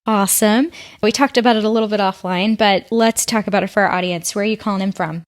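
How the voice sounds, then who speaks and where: A woman's voice: medium pitch, fast. A woman hosting a business podcast, upbeat, laughing easily and asking loose, rambling questions. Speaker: podcast host. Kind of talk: podcast intro and interview.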